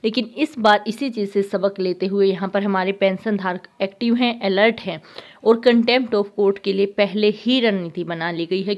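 A woman's voice: mid-range voice, dull audio. A woman hosting a YouTube news channel, conversationally with some hesitations and restarts.

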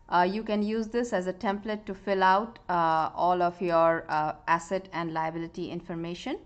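A woman giving a screen-recorded spreadsheet tutorial, explaining step by step in a calm voice with frequent "uh" fillers. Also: medium pitch. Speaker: software tutorial presenter.

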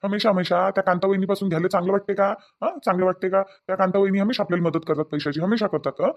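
Man acting a high-voiced, fast, scolding village husband. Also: steady tone, muffled recording. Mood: angry scolding. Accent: rural Vidarbha Marathi. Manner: scolding, repetitive rhetorical questions. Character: hot-tempered, worried village husband short of money. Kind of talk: amateur Marathi village family drama.

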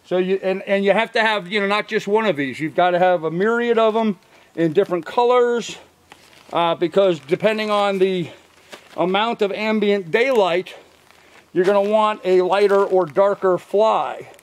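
Older man, higher than usual pitch, rambling outdoor show-and-tell, filler-heavy, camera-mic sound. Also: moderate pace. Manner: rambling, many ums and uhs, self-correcting. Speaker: vlogger showing fishing gear. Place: outdoors by a truck in a field. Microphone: camera mic from a few feet.

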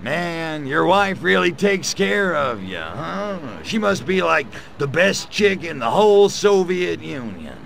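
A gravelly wisecracking alien. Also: male voice, on the high side, very animated, slow pace, hardly any pauses, fairly bright tone, full character voice. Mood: sly. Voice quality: gravelly, slangy drawl. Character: cocky wisecracking male alien invader. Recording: game audio with faint music.

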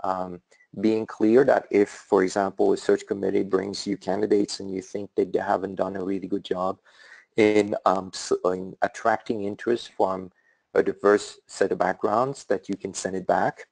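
A middle-aged man with a European accent giving a webinar talk as an academic leader, thoughtful and halting, with frequent fillers and restarts. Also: sits low, little pitch movement, gravelly, darkish tone, no room sound, low-quality recording. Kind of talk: webinar presentation.

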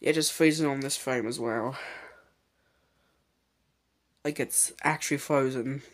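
A man talks casually in a mid-pitched voice, making off-the-cuff commentary. His delivery is halting and hesitant, like an amateur video maker recording at home. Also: steady tone. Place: dim room at home, filming a TV screen.

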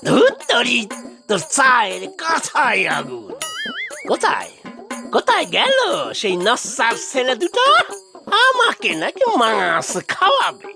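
A woman's voice: fairly high pitch, vocal fry, heavily acted. A woman voicing a boastful, hot-tempered middle-aged family man in a cartoon, exclaiming and savouring his words. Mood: angry gloating. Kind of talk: animated cartoon dialogue.

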